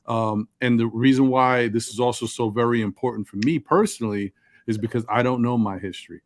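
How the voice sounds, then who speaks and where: Male panelist, deep voice, articulate delivery, thoughtful personal talk, very little room sound. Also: fairly quick, dry recording, studio quality. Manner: precise, articulate enunciation. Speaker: panel participant. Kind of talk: reflective personal talk in a group discussion.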